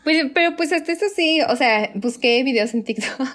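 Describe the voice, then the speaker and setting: A woman's voice: sits high, expressive, moderate pace, a bit bright. A young woman with a Mexican Spanish accent, co-hosting a podcast in casual chat, laughing often and acting out her own reactions. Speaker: podcast co-host.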